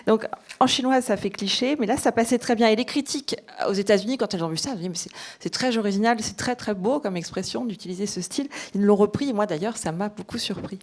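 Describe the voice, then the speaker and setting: A woman's voice: mid-range voice, fast. A woman working as an interpreter, relaying a speaker's words aloud at a public talk. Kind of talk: consecutive interpreting before an audience.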